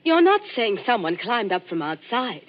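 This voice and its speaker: A flustered young woman companion, earnest and breathless, her slightly high voice rising and falling with lively inflection. She sounds anxious and eager to explain. The sound is dull and lo-fi like an old radio broadcast. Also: moderate pace. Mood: distressed. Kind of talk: 1940s radio acting.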